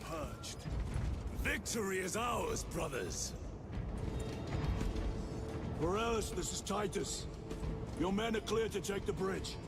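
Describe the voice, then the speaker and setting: A battle-hardened male space marine officer from a video game. His voice sits high for a man and issues orders in clipped military phrases. Loud music plays behind him. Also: lively intonation, slow, vocal fry, pauses often, full character voice. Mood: commanding. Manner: commanding, clipped military delivery. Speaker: game character voice actor. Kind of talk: dramatic video game acting.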